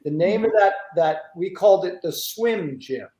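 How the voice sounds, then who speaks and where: A man from the United States hosting a casual interview, telling stories in an animated, rambling way. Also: high-pitched, moderate pace. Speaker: talk show host. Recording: roomy echo.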